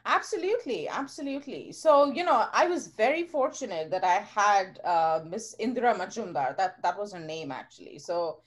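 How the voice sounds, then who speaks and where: A woman's voice: mid-range voice, lively intonation, normal speed, hardly any pauses. A woman from India, a geoscience professor talking earnestly as a podcast guest. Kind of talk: casual interview talk.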